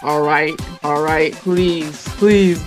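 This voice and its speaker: Raspy young man narrating a game. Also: fairly high pitch, steady tone, very slow, soft background music. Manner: chatty, pleading with the game for luck. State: just woke up. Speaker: gaming channel host. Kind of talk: casual game commentary.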